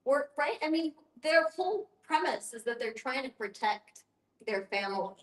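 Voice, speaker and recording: Woman, slightly high pitch, conversational, affirming, explanatory, strong room echo. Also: expressive, moderate pace. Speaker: panelist at a discussion on end-of-life planning. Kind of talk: conversational group discussion. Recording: roomy echo.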